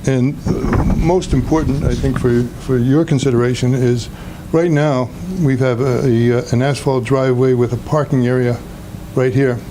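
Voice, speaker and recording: A man with a naturally loud voice speaks to a town commission about his home project. He talks plainly, stopping often to gather his words. The sound is a noisy meeting-room recording. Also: medium pitch, steady tone. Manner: plainspoken, halting with fillers. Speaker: homeowner applicant. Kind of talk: presenting a project at a public meeting.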